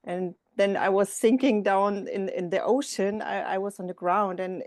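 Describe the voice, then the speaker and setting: A woman's voice: medium pitch, fairly even intonation, normal speed, a little mellow, no room sound, studio quality. A woman sharing her meditation experience with a group on a video call, speaking hesitantly and searching for words. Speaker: meditation retreat guest.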